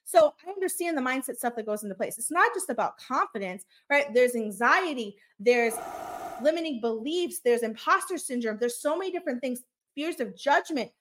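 A woman with a fairly high voice talks straight to her listeners in a solo podcast episode. She coaches with energy, firing off rhetorical questions and checking in with a quick "okay?". Now and then she stumbles on a word with a slight stutter. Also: lively intonation, a bit bright. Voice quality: high-pitched voice. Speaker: podcast host and business coach. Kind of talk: motivational solo podcast talk.

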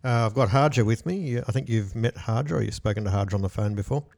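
A man's voice: lowish voice, fast, vocal fry, darkish tone. A man hosting a radio interview, friendly and a bit hesitant, with frequent restarts. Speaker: radio host.

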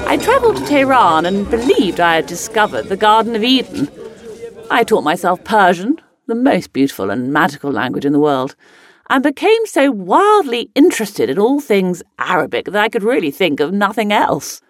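A woman's voice: medium pitch, big pitch swings, moderate pace, lightly acted. An actress playing a spirited, headstrong upper-class lady explorer who looks back in a genteel, emphatic dramatic monologue. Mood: proud, confiding. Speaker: actor reading a monologue. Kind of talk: scripted first-person dramatic monologue.

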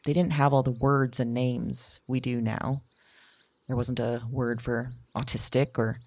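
Actress as a husky, haunted introspective woman. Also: rumbling low, flat delivery, darkish tone, heavily acted. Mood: wistful, reflective. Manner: halting, musing, confiding. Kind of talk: audio drama monologue.

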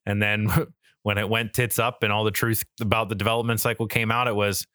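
A man's voice: fairly low pitch, little pitch movement, a little fast, pushed and bright, clean tone, no room sound. A man co-hosting a podcast, chatting casually and emphatically in slangy, mildly profane language. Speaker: podcast co-host. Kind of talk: casual chat about video games and media.

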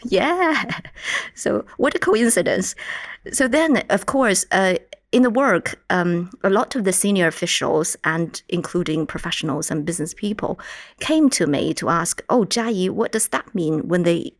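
A woman with a Mandarin Chinese accent, a native Mandarin speaker from China, explaining her work in a measured way as a podcast guest. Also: fairly low pitch, creaky, dry recording, clean studio sound. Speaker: guest linguistics researcher. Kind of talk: podcast interview.

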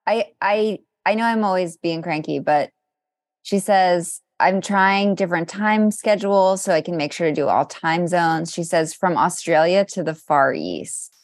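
A woman's voice: on the low side, animated, a little slow, no room sound. A woman co-hosting a podcast in casual chat, offering dry, wry and critical asides. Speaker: podcast co-host.

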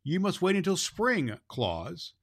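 A man's voice: high-pitched, huge pitch swings, slow pace, husky, no echo, studio quality, pitched above the performer's own voice, heavily acted. A friendly deer from a children's storybook, voiced by a man reading. It talks practically and plainly, sure of what it says. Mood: advising. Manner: matter-of-fact, decided.

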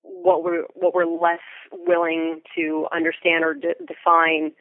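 A woman's voice: fairly low pitch, level tone, measured pace, dry recording. American woman on a dull, lo-fi line. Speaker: guest, theatre Foley artist. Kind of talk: interview conversation.

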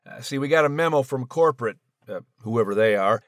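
A man's voice: medium pitch, expressive, fairly quick, dry recording, clean studio sound. A man with an American accent narrating and hosting a scripted comedy podcast in a chatty, rambling, playful way. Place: studio.

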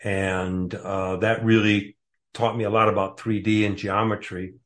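A man's voice: lowish voice, level tone, measured pace. A man in his sixties from the Detroit area, a guest author answering interview questions casually and hesitantly, with many fillers. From Metro Detroit area, USA.

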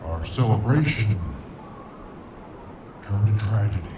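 A man's voice: on the low side, expressive, slow. A man playing a vengeful, grieving ancient interdimensional monster in a sci-fi audio drama, speaking in grandiose, formal menace. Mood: mournful, brooding. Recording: noisy, echoing, distant-sounding.

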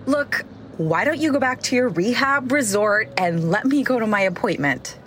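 An exasperated, animated, sharp-tongued nun. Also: female voice, on the high side, normal speed, a little hiss or hum. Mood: scornful. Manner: curt, sarcastic. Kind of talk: sci-fi comedy audio drama acting.

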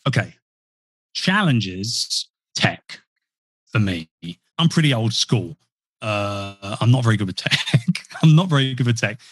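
A man's voice: lowish voice, expressive, a little slow, a bit bright, dry recording. A man chatting as a podcast guest, rambling and self-deprecating with frequent restarts. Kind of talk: casual interview talk. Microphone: podcast mic.

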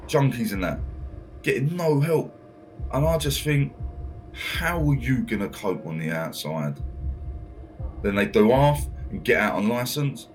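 An angry inmate who swears constantly and keeps tagging his rants with "isn't it" and "don't they." His pitch swings widely as he vents, and a faint hum sits behind him in this audio drama. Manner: angry, sweary, full of tag questions. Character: bitter, foul-mouthed male prisoner stuck in his cell. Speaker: prisoner.

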